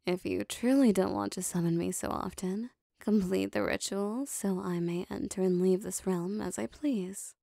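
A woman playing a powerful, possessive female demon devoted to her master, speaking formally and deliberately in an ASMR roleplay. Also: mid-range voice, vocal fry, mellow, no echo, clean studio sound. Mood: possessive, insistent. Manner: formal, deliberate, intimate phrasing.

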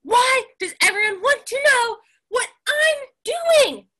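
A woman's voice: extremely high pitch, lively intonation, slow pace, sharp tone, pitched above the performer's own voice, exaggerated voice. A woman voicing a grumpy little male bird in a storybook, curt and irritated. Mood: exasperated.

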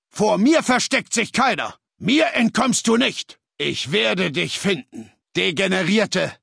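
An actor playing a fanatical legion soldier in a video game, barking threats in a rough, gravelly, aggressive way. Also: male voice, high-pitched, bright, forceful tone, no echo, studio quality, full character voice. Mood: menacing. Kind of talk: video game combat barks.

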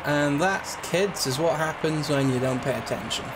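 A young man with a slightly raspy, hoarse voice from a cold, chatting loosely with lots of fillers while playing a train simulator. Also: a little high, normal speed. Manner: rambling, chatty, with frequent fillers. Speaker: gaming channel host. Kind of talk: casual commentary over a train simulator. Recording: loud game train noise behind.

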